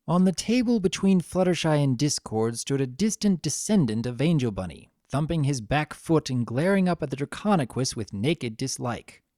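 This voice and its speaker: A narrator reading a story aloud with dramatic expression. The pitch moves widely through the phrases, and the recording is close and dry. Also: sing-song, moderate pace, hardly any pauses, warm tone, no room sound.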